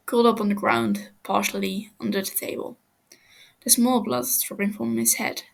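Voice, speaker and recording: A woman reading a fan fiction story aloud in a hesitant, halting narration. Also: on the high side, gravelly, slightly rough, rough recording.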